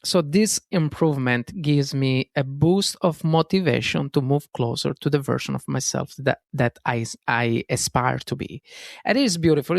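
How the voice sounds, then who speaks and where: Gravelly Italian-accented man hosting a podcast. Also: on the high side, no room sound, clean studio sound. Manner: earnest, self-correcting, frequent fillers and "guys". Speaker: podcast host. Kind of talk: solo self-help podcast monologue.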